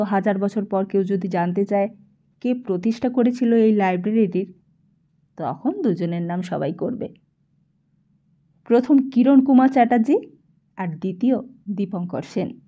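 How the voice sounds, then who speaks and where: A woman narrating a literary audiobook in an expressive, reflective storytelling manner. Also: mid-range voice, highs cut off.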